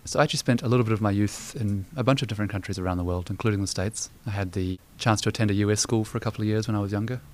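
Young New Zealand man, low voice, brisk, relaxed chatty delivery. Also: slight background noise. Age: 20s. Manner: relaxed, chatty, many "you know" fillers. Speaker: interviewee. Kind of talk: personal storytelling.

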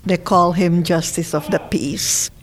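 An elderly woman from the Philippines speaks with a Filipino accent in a slightly low register. She shares personal history as an interviewee, reflective and measured in her storytelling. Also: fairly even intonation. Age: 70s. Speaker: interviewee telling life story. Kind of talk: oral history personal narration.

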